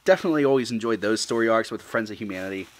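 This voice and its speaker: A man's voice: on the low side, expressive, rapid-fire. A man in his thirties hosting an unboxing video with casual, upbeat commentary full of fillers.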